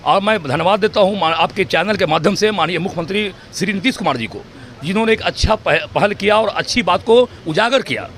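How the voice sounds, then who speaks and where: A man's voice: high voice, lively intonation, brisk, fairly bright tone. A man with a Bihari accent in a street interview, speaking formally and emphatically, piling up synonyms. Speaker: local resident interviewed. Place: outdoor street. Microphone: handheld reporter microphone.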